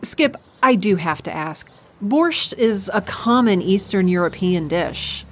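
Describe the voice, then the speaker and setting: A woman's voice: mid-range voice, expressive, measured pace, a little hiss or hum, lightly acted. A woman playing a composed, approving intelligence chief in a comedic audio drama.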